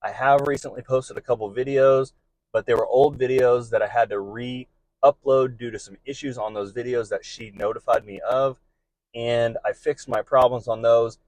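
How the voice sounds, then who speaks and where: A man's voice: medium pitch, steady tone, moderate pace, darkish tone, no room sound, poor audio. A man speaking informally and earnestly, offering encouraging advice to fellow delivery drivers in a monologue.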